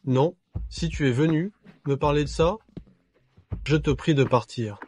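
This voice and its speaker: An actor playing a jealous, possessive husband with a cold, sardonic manner, in dubbed melodrama style. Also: male voice, on the high side, measured pace, a little mellow, slight background noise, natural voice. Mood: cold, dismissive.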